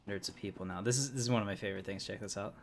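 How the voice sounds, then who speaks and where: A man's voice: lowish voice, very fast, gravelly, noisy background. A man livestreaming a game who chats casually in a rambling way with frequent fillers. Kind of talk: casual gameplay chat.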